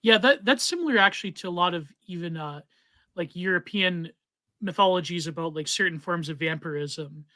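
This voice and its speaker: A man's voice: high-pitched, brisk, pushed and bright, dry recording. A man hosting a podcast, chatting in an engaged way and thinking aloud. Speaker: podcast host. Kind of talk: casual conversation.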